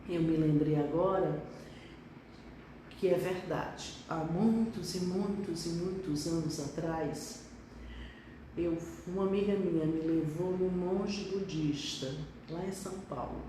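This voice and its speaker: Middle-aged woman, doctor, reflective live talk, mellow low voice, echoey room, off-mic. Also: slow pace, distant mic, slight background noise. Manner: reflective, explanatory, with hesitations. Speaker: pediatric neurologist. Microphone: phone on live stream.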